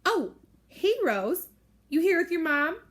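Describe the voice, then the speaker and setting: Woman voicing a chirpy, high schoolgirl. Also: very animated, slow pace, a bit bright, exaggerated voice. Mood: sneering. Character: young girls at an aquarium, chatty.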